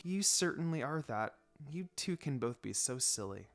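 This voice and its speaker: A man voicing a gentle, worried young girl ninja in a soft, hesitant manner. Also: medium pitch, sing-song, normal speed, pitched below the performer's own voice. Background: background music.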